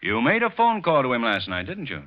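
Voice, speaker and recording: An actor playing a police detective in an old radio thriller, deceptively casual, insinuating and slyly suspicious. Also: male voice, mid-range voice, wildly swooping pitch, brisk. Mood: slyly probing. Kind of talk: old-time radio suspense acting. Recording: muffled, noisy vintage radio recording.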